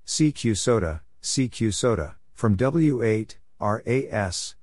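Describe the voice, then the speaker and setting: A man reading out scripted radio exchanges in a measured way, as narrator of a practice lesson. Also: on the low side, expressive, darkish tone, no room sound, clean studio sound. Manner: measured, spelling out call signs piece by piece. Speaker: Morse code practice narrator.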